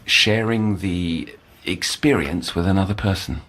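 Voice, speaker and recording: An actor playing an earnest, brooding middle-aged male doctor in an audio drama, speaking formally and haltingly in erudite language. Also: sits low, a bit bright. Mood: gentle, reassuring. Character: earnest, scholarly, brooding male doctor haunted by past failures.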